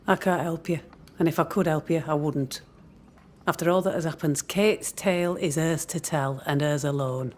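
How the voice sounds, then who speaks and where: A woman's voice: sits low, moderate pace, a little mellow. A hard, resentful woman who leads colonial settlers in a video game. She speaks curtly and with command, in old-fashioned phrasing. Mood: guarded. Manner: curt, commanding, archaic phrasing. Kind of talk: dramatic game dialogue.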